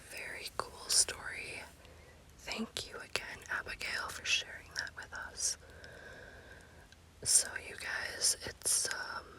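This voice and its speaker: A woman speaking in a breathy, unvoiced whisper in the style of an ASMR spooky storytelling host, with deliberate phrasing. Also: bright, forceful tone, rough recording.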